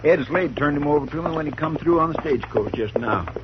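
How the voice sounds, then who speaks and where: Radio western: actor as a folksy stammering deputy, slightly high, hissy broadcast. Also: male voice, lively intonation, fast, dull audio, natural voice. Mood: worried. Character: hesitant loyal deputy.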